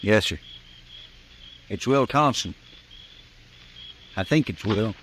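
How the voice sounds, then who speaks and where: An actor playing a loyal, anxious American frontier deputy in an old radio western, speaking in a folksy, deferential drawl. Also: male voice, lowish voice, animated, takes it slowly, fairly bright tone. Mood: uneasy. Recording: noisy old radio drama recording.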